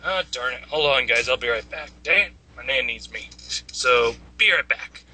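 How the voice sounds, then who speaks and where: A man's voice: mid-range voice, lively intonation, normal speed. A man chatting casually on a group call. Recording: faint noise with clicks.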